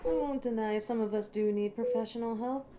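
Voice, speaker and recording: A woman's voice: fairly high pitch, brisk, warm tone, natural voice. A woman voicing a cocky, ancient werewolf in an audio roleplay, teasing and menacing with a sardonic, impatient manner. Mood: wry, explaining. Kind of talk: scripted audio roleplay monologue to a listener.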